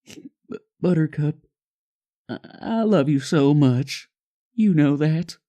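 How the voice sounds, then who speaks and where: A man voicing a gentle young male pony husband in a fan-made audio drama, soft, earnest and comforting. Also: on the high side, lively intonation, slow pace, pitched above the performer's own voice. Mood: pleading, loving. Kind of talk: amateur fan-fiction audio drama acting.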